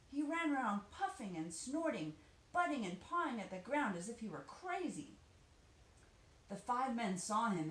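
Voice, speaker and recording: Female children's storybook reader, animated and expressive, dramatic emphasis, roomy sound. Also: mid-range voice. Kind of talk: expressive read-aloud of a children's picture book. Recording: roomy echo, faint background noise.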